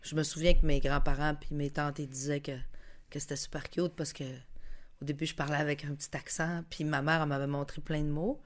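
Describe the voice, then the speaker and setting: A woman in her forties, born in Mexico, with a Lac-Saint-Jean Quebec French accent, telling stories in an animated, casual way with frequent laughs as a podcast guest. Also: deep voice, brisk. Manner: animated, laughs often between phrases, many fillers. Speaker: guest musician. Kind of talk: casual storytelling chat.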